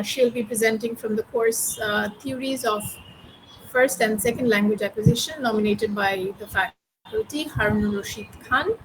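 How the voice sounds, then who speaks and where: A woman moderating a seminar, introducing presenters in a measured way with short hesitations. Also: a little high. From Bangladesh. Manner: measured, hesitant fillers between names. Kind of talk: formal introductions. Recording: clear background noise.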